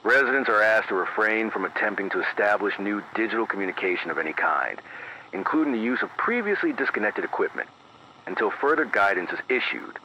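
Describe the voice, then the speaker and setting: Deep, bright-edged radio emergency announcer, acted by a man. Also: animated. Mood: grave, measured. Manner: measured, formal, deliberate, precise. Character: grave, careful late-night male emergency radio announcer. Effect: AM radio filter. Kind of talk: scripted analog horror emergency news bulletin. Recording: dull band-limited AM radio, faint noise.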